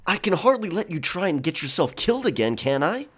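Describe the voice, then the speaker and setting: A man plays a pirate quartermaster for an audio drama, stern and easily exasperated. His drawl is measured and coolly menacing, with pitch that moves widely from phrase to phrase. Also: big pitch swings, a bit bright, breathy edge, heavily acted. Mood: exasperated, firm. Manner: drawling, measured, matter-of-fact.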